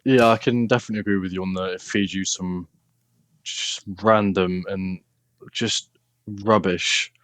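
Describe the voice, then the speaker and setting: A man from the UK, a content creator chatting casually as a podcast guest in a measured, hesitant way. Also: low-pitched, steady tone, a bit bright, clean tone, no echo. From United Kingdom. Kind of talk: casual interview chat.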